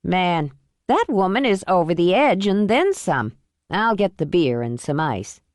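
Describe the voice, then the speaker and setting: A woman's voice: on the low side, very animated, unhurried, no echo, clean studio sound. A woman narrator voicing a fussy, protective male friend. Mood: fussing. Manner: fussy and indignant.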